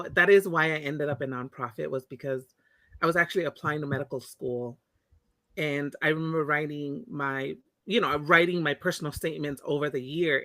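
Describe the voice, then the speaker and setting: A woman's voice: sits low, fairly bright tone, no echo. A woman from Tonga, an interview guest telling her personal story in a reflective, candid way, often restarting her sentences. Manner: reflective, frequent restarts and self-corrections.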